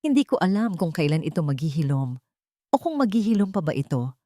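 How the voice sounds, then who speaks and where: A woman voicing a wounded young wife who speaks quietly and coldly in a storybook. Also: deep voice, very animated, a little fast, no echo, studio quality. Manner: quiet and cold. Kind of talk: storybook narration.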